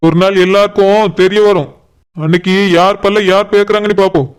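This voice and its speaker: A man's voice: high-pitched, little pitch movement, fairly quick, clean tone, natural voice. A man voicing a defiant young house servant in a village cartoon, firm and taunting, with a Kanyakumari Tamil accent. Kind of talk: village cartoon drama acting.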